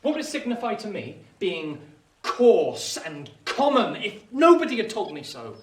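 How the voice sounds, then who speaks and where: An actor playing a discontented, lovesick working-class youth who longs to be a gentleman, earnest and impassioned in a radio drama style. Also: sits high, huge pitch swings, normal speed. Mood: infatuated, agitated. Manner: earnest, impassioned, swinging from petulant to wistful. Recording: roomy echo, distant mic, faint hiss.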